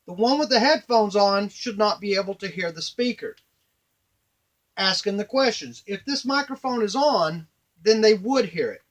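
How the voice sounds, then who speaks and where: Middle-aged male demonstrator, higher-pitched voice, informal step-by-step workshop tutorial, slightly halting delivery. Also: lively intonation, low-quality recording. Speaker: device maker and demonstrator. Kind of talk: informal hands-on product tutorial. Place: small indoor workshop at a workbench. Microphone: camera a few feet away.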